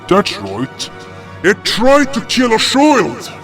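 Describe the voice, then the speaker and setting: A man's voice: sits high, wildly swooping pitch, takes it slowly, fairly bright tone, soft background music, exaggerated voice. An actor playing an indignant, impulsive frost guardian beast, telling his fantasy tale in a dramatic, grumbling manner. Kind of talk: first-person fantasy story narration and dialogue.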